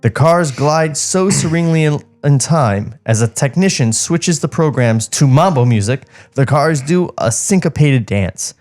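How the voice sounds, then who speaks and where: A man's voice: fairly high pitch, animated, unhurried, few pauses, darkish tone, soft background music. A man co-hosting a podcast, narrating screen directions from a script with casual asides. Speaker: podcast co-host reading a script.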